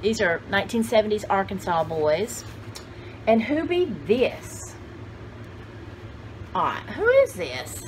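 A woman's voice: mid-range voice, big pitch swings, takes it slowly, pauses often, a little hiss or hum, low-quality recording. A woman in her sixties chatting casually to the camera in a rambling, folksy, exclamatory way, often talking to herself and her viewers. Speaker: vlogger showing vintage finds. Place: cluttered indoor room with shelves.

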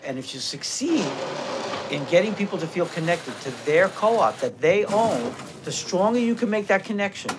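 An older man giving a calm, explanatory documentary interview. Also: sits high, measured pace, noisy background.

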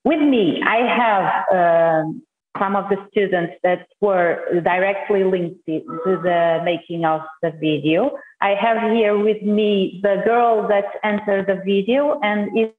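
A woman's voice: medium pitch, unhurried. A woman teacher with a Portuguese accent introducing her students on a video call, speaking careful, hesitant English. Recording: tinny call audio in echoey room.

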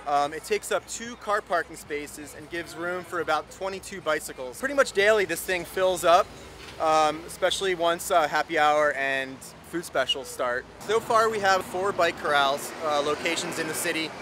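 A man's voice: a little high, moderate pace, background noise. A man who advocates for cycling, talking casually with "um" fillers, on camera outdoors on a city street. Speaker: bike advocate.

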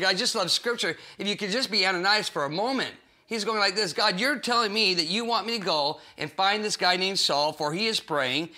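Man, 40s, pastor teaching to camera; high, very bright voice, animated and playful. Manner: animated, acts out voices in the story. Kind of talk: small-group Bible teaching. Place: cozy living room set with bookshelves and sofa.

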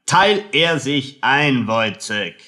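An actor playing a condescending, melancholic army captain in a radio play, with a fussy, pompous, lecturing manner. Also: male voice, medium pitch, big pitch swings, bright, forceful tone, exaggerated voice. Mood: agitated, patronizing. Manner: pompous, slow, drawling, lecturing. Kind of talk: German radio play acting.

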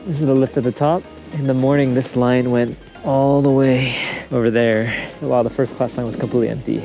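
Young man, fast pace, mid-range pitch, casual travel vlogger, music in the background. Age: young adult. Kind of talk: casual vlog narration. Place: ski resort, partly outdoors on slopes, partly in a gondola. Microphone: action camera at arm's length.

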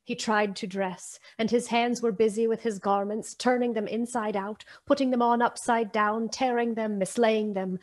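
A woman narrator telling a story in a radio play, with measured, solemn, literary delivery. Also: a little high, fairly even intonation. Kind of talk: dramatic scripted storytelling narration.

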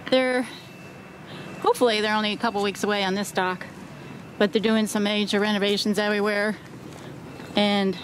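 A woman's voice: medium pitch, rough recording. A woman hosting a sailing vlog, giving an upbeat, chatty tour and interviewing a crew member outdoors at a marina. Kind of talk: casual vlog narration and tour. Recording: outdoor noise in background.

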